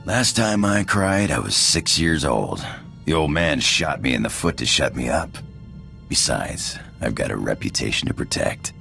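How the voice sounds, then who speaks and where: A man playing a cocky, roguish space pirate in a video game, with a gravelly drawl, casual slang and a flirtatious swagger. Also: very animated, normal speed, sharp tone, faint music behind, full character voice. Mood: teasing, defiant. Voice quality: gravelly, very deep voice. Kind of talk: video game character acting.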